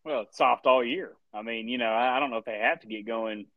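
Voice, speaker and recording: A man's voice: medium pitch, a little fast, no echo, narrow, tinny audio. A man with a Southern accent co-hosting a sports podcast in a laid-back, joking drawl, often repeating his phrases. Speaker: podcast co-host. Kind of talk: casual sports chat.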